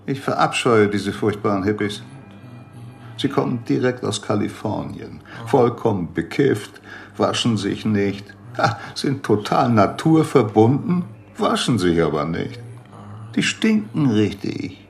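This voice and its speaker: An actor playing a grumpy, opinionated doctor in a radio drama, scornful and lecturing in a sardonic way. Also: male voice, on the low side, sing-song, a little slow, gravelly, full character voice. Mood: scornful, mocking. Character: grumpy opinionated older male doctor in Mexico, scornful and mocking.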